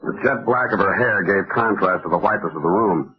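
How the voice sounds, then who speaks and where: A man's voice: sits low, a little fast, breathy edge, faint music behind. An actor playing a sardonic, world-weary male private detective narrating a hard-boiled 1940s radio drama. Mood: somber, reflective. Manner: wry deadpan, hard-boiled. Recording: tinny phone-like vintage radio recording.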